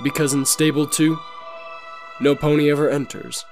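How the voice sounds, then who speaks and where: A man's voice: fairly high pitch, steady tone, lightly acted. A man voicing a solemn pony narrator in a fantasy audio drama, intoning stern words in a portentous manner. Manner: slow, portentous. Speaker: prologue narrator. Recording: music behind the voice. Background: music.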